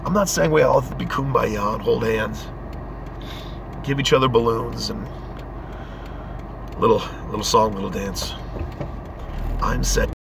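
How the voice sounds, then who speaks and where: Hoarse man with a head cold. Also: medium pitch, a little slow, pauses often. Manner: rambling, earnest rant. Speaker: vlogger. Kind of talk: casual driving vlog. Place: inside a moving car. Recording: car road noise.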